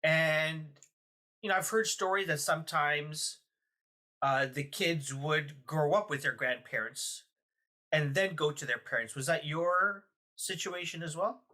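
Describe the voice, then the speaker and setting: A man's voice: fairly high pitch, measured pace, pushed and bright. A man hosting a podcast, interviewing a relative in an encouraging way with follow-up questions. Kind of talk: casual family interview.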